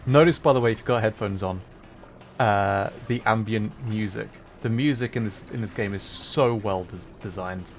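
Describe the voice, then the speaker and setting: A man's voice: on the low side, normal speed, slight background noise. A man giving chatty, casual commentary while streaming a game, laughing and humming along. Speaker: VTuber streamer.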